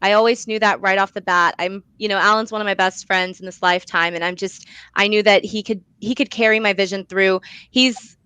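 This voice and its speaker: Young female guest, bright and expressive, chatty storytelling delivery, close dry sound. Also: medium pitch, brisk, clear, resonant tone, dry recording. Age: young adult. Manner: chatty, expressive, frequent "like" and "you know". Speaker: guest, young playwright and director. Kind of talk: casual interview storytelling.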